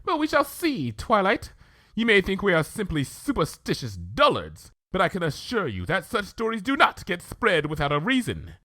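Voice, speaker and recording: A man's voice: high voice, huge pitch swings, moderate pace, pushed and bright, no echo, voice pushed up, exaggerated voice. A man voicing a refined, dramatic female cartoon unicorn in a story reading, with a theatrical, affected and formal manner. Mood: haughty.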